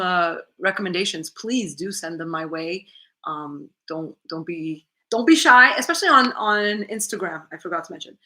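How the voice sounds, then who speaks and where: A woman's voice: pushed and bright, poor audio. A woman chatting candidly to viewers from her living room on a livestream, reading comments aloud and laughing often. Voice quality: lower-voiced. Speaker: YouTuber hosting a livestream Q&A.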